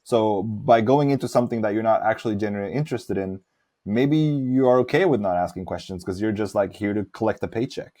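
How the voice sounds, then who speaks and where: A man's voice: lowish voice, brisk, warm tone. A man who grew up in Norway, talking reflectively and giving his opinions as host of a casual interview.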